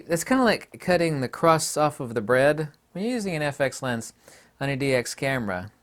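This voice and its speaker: A man giving an opinionated photography vlog monologue, speaking in an emphatic, blunt and scornful way. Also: on the high side. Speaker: photography YouTuber.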